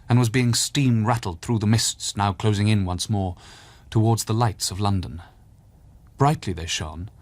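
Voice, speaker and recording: A man acting eloquent Victorian storyteller, lowish pitch, dry close sound, measured expressive literary reading, radio drama. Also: no room sound, close to an everyday voice. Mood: reverent, nostalgic. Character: Charles Dickens, eloquent Victorian storyteller recounting memories. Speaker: reader of Dickens passages.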